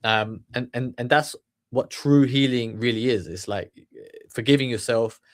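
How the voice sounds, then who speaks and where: A man from the UK gives an off-the-cuff motivational talk at an average pitch. He circles his points with repeated words, fillers and "right?" as he goes. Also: moderate pace. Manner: rambling, many restarts and fillers. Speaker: channel host. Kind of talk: unscripted motivational monologue.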